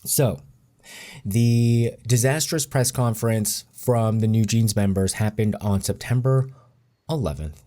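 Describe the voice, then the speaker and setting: A man giving casual commentary in a deliberate, measured way. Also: on the low side, warm tone. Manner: deliberate, measured delivery with occasional laughs. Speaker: co-host commentator.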